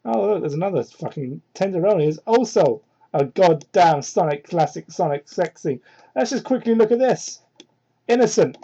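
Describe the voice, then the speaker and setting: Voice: mid-range voice, expressive, normal speed, creaky, warm tone, breathy edge, dull audio. A person giving a mocking dramatic reading of fan fiction, breaking off into exasperated, incredulous, foul-mouthed asides, on a poor-quality hobby microphone. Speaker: amateur reader of fan fiction.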